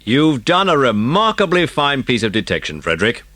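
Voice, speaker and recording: A man's voice: mid-range voice, sing-song, bright, forceful tone, no room sound, voice pushed up. Man playing an emphatic, authoritative police chief. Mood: warmly impressed. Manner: measured, emphatic, approving. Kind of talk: dramatised children's audiobook acting.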